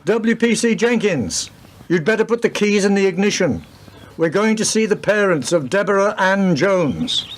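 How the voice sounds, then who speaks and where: An actor playing a weary, wry senior police detective in a radio play, speaking in a dry, deliberate way. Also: male voice, sits high, expressive. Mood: wry, grave.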